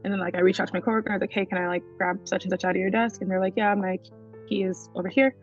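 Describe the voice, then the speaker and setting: Woman artist chatting easy, lots of "like"s, kinda dull muffled sound, soft music under. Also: mid-range voice, racing. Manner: relaxed, uses many fillers like "like". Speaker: visual artist. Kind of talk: casual interview talk.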